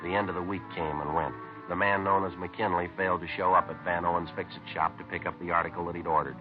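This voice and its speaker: An actor playing a businesslike, methodical police detective in a 1950s radio crime drama, deadpan and matter-of-fact in clipped sentences. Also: male voice, low-pitched, fast talker, over music, close to an everyday voice. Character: businesslike, methodical male police detective narrating his case. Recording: tinny narrow-band vintage radio recording.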